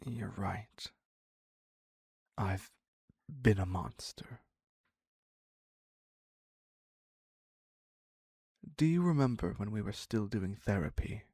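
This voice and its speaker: A man playing an obsessive, needy captor devoted to his captive in an intimate dark romance roleplay, husky and halting, often dropping into a whisper. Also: fairly low pitch, lively intonation, no room sound, studio quality, heavily acted. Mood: wounded, brooding. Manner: halting, pleading, very slow with long pauses.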